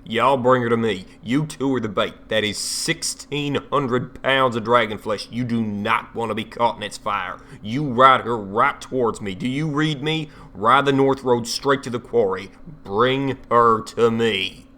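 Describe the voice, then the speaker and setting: A man's voice: medium pitch, measured pace, hardly any pauses, bright, forceful tone. A man playing a blunt, sardonic leader of dragon slayers in an audio drama. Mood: urgent, commanding. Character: gruff, defiant American man leading dragon slayers.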